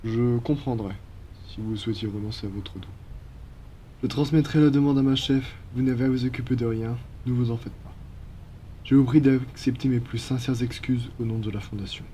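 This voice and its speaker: A man's voice: medium pitch, steady tone, normal speed, mellow, slight background noise, lightly acted. A man voicing a courteous, apologetic vampire in an ASMR roleplay, speaking formally and politely. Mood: gentle, apologetic. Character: courteous, apologetic male vampire from a blood-donation foundation.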